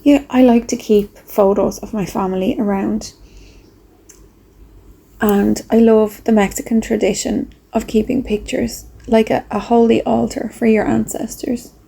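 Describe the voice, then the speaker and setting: A woman speaking softly and reflectively with pauses, in a breathy, husky voice, as she leads a gentle guided meditation. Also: a little high, fairly even intonation, poor audio. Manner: slow, soft, reflective, with pauses.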